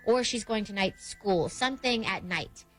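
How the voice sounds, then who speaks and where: A woman's voice: medium pitch, normal speed, fairly bright tone, faint music behind, poor audio. A woman co-hosting a radio show, confidently offering her theory to a caller. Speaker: radio co-host. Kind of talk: radio chat.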